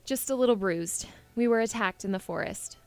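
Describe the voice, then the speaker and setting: A woman's voice: fairly high pitch, normal speed, no room sound, faint music behind, close to an everyday voice. A woman playing a headstrong, spirited young princess in a fantasy audio drama, earnest and pleading with a defiant streak. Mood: wry, weary.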